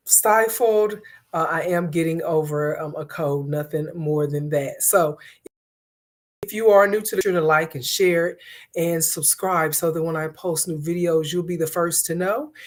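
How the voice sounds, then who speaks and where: A woman hosting an online commentary stream, hoarse from a cold, talking in a conversational way with frequent pauses and fillers. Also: on the low side. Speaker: host of an online commentary show. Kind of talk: casual commentary to viewers.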